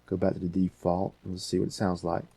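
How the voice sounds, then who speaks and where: A man hosting a casual software tutorial, thinking aloud in an unscripted way. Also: sits low, monotone, fast talker, creaky, breathy edge, no echo. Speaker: tutorial host.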